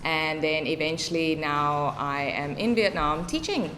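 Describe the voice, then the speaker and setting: A young woman in her twenties with a South African accent, chatting as a podcast guest, laughing easily and filling pauses with "um". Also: deep voice, lively intonation, a bit bright, slight background noise, poor audio. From Cape Town, South Africa. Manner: chatty, laughs often, many fillers. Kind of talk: casual interview.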